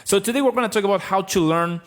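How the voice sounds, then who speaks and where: Guitar teacher, thirties, Argentine-accented male, blunt and lively, close mic in a small home room. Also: high-pitched, fast talker. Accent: Argentine Spanish. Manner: blunt, animated, explaining. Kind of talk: live-streamed guitar lesson. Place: small room with bookshelves, indoors. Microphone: studio mic on stand, close.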